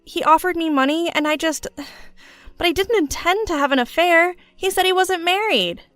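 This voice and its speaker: A young bar hostess, as a woman narrator puts her on, tearful and pleading in an audio story. The voice is very high and apologetic, recorded dry. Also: moderate pace, a bit bright, no room sound, faint music behind.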